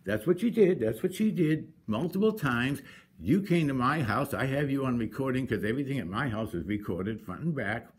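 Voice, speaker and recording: A man's voice: mid-range voice, animated, moderate pace. A man arguing his own case at a public hearing, combative and insistent, often repeating himself. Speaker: citizen contesting a code violation at a hearing. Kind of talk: argumentative public hearing testimony.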